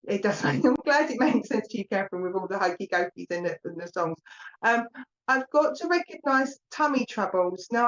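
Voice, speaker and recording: A woman with an Essex accent chats her way through a live psychic reading and calls viewers "my lovely". Her voice sits in a middle range. The stream audio is dull and lo-fi. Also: medium pitch. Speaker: psychic medium. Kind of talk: live online mediumship reading.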